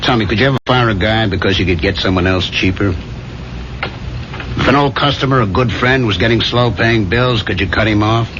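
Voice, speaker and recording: An American actor playing a glib, domineering boss in a 1970s radio drama, patronizing and emphatic, in short punchy phrases. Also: male voice, on the low side, close to an everyday voice. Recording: noisy, muffled 1970s radio broadcast recording.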